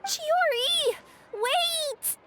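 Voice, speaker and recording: A woman's voice: very high voice, drawn-out words, very noisy, exaggerated voice. A woman voicing a bubbly, anxious young cat yokai courier in a video game, earnest and a little hesitant. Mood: worried. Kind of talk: scripted video game voice acting.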